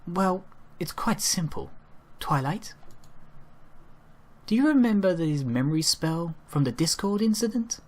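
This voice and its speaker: Man putting on a slow, regal pony mare. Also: sits high, expressive, pitched above the performer's own voice. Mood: matter-of-fact. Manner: slow, measured, formal. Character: regal, calm female pony ruler explaining patiently.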